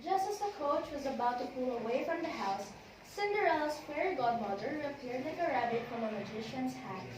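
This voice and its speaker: A woman's voice: sits high, animated, a little fast. A woman reading scripted storybook narration as the narrator of an amateur readers' theater. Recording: very echoey room, distant, noisy.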